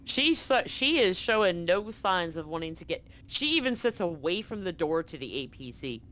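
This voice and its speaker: A woman's voice: fairly low pitch, sing-song, normal speed, fairly bright tone. Casually profane woman running a game. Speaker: game master. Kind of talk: improvised tabletop game narration. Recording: faint noise on live stream.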